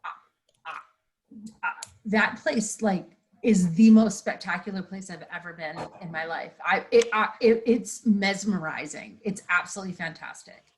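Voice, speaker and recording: A woman's voice: medium pitch, moderate pace. A woman chatting on a video call, enthusiastic and rambling, with many restarts. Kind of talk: casual video-call chat.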